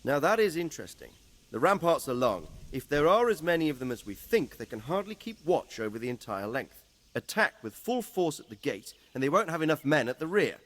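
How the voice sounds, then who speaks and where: Lively-pitched medieval captain, reverberant. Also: male voice, a little fast. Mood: keen, calculating. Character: keen, tactical medieval military captain. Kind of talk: dramatic video game cutscene acting.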